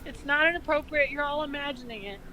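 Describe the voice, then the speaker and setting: A woman co-hosting a dramatic fan fiction reading, mixing narration with casual, deadpan sarcastic remarks. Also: high voice, fast talker, rough recording. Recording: background noise, uneven levels.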